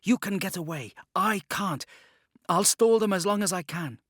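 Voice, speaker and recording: A man's voice: sits high, moderate pace, fairly bright tone, no echo, clean studio sound, voice pushed up. A man voicing a cautious, brave older youth in an audiobook, speaking seriously and urgently. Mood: desperate, urgent. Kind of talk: audiobook character dialogue.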